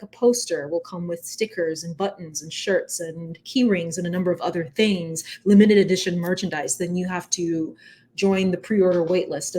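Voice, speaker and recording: A woman's voice: lowish voice, darkish tone, slight background noise. A woman speaking as a podcast guest, explaining things casually, politely and in detail, often correcting herself. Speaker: podcast guest, manga creator.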